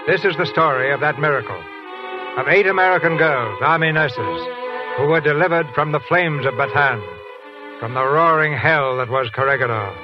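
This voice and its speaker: A man's voice: on the high side, normal speed, dull audio, over music. A man hosting and narrating a radio theater broadcast in a polished, stately 1940s announcing style.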